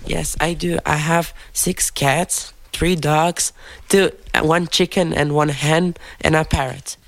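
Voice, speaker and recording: A woman's voice: deep voice, steady tone, slow, vocal fry, no echo. A young woman whose first language is Macedonian, answering interview questions in English, hesitant and searching for words. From North Macedonia.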